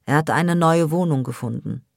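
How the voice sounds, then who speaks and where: An evasive older father read by a woman narrator in an audiobook. He is curt and resigned, avoiding discussion. The voice is low and the sound is dry. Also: moderate pace, creaky, no room sound. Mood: resigned, final. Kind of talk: audiobook dialogue.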